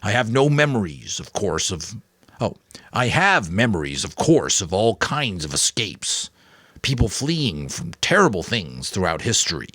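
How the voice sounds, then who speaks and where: A man voicing a thoughtful, caring old mentor in a dramatic audiobook, measured and advisory. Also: on the low side, sing-song, fairly bright tone, no room sound, studio quality, full character voice. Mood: thoughtful, cautious.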